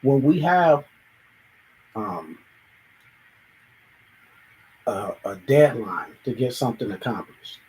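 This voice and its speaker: A man's voice: mid-range voice, big pitch swings, takes it slowly, pauses often, darkish tone, rough recording. Reflective man musing in a monologue. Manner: thoughtful, acts out everyday dialogues, sometimes restarts. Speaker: show host.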